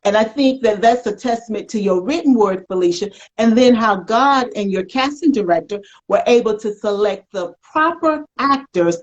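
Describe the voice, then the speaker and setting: Woman, American accent, higher-pitched, animated folksy interviewer, affirming, muffled web-call sound. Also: normal speed, few pauses. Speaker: talk show host. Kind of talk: conversational interview. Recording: dull lo-fi web call audio.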